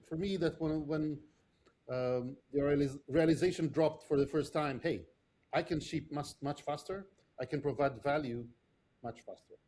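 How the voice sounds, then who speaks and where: A middle-aged man answers interview questions as a product leader. His voice sits a little high but sounds warm and mellow. He talks thoughtfully and often restarts his sentences or fills pauses. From Israel. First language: not English. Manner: thoughtful, many restarts and fillers. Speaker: podcast guest, product leader.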